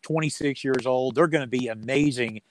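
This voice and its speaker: A man's voice: medium pitch, normal speed, slight background noise. A man speaking as a sports announcer being interviewed, chatting casually in a folksy, joking way with dry humour. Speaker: radio sports play-by-play announcer as interview guest.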